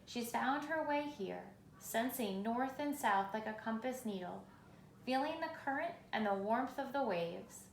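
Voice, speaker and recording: A woman with a medium-pitched, noticeably creaky voice reads a children's story aloud. Her delivery is measured and expressive, with dramatic pauses. The room adds clear echo, and there is faint noise behind her. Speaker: reader. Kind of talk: children's picture book read aloud.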